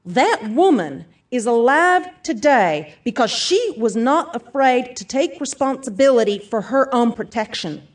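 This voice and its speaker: Peppy, high-voiced seminar speaker. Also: female voice, big pitch swings, unhurried, few pauses, recorded from a distance. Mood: dramatic storytelling. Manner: emphatic, peppy motivational-speaker delivery, folksy asides. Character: brash, upbeat female self-defense gun seminar speaker. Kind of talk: audio theatre monologue to a seminar audience.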